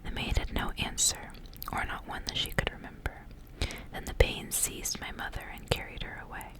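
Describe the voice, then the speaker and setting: A young woman whispers softly in an ASMR style. Her delivery is breathy and almost entirely unvoiced, kept hushed throughout. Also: moderate pace. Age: young adult. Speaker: ASMR creator. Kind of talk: whispered ASMR, casual rambling and story reading.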